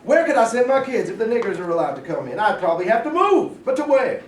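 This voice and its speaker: A man's voice: high voice, very animated, fast talker. A man in a staged reading playing an agitated, folksy segregationist state official who sounds alarmist and emphatic. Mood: indignant. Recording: roomy, distant mic, faint noise.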